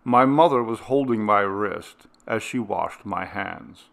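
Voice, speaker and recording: A man narrating a classic ghost story in a measured, hushed reading style. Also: on the low side, lively intonation, gravelly. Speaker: audiobook narrator.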